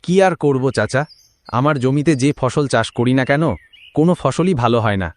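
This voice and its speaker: A man's voice: medium pitch, normal speed, dry recording, close to an everyday voice. A man voicing a poor, troubled village farmer in a dramatic cartoon style. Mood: despairing. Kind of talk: Bengali cartoon voice acting.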